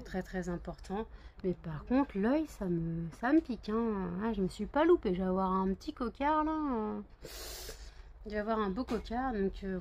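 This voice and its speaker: A woman's voice: mid-range voice, a little mellow, a little hiss or hum. A woman vlogger chatting casually to camera, rambling with many fillers and repeated words. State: just woken up, groggy.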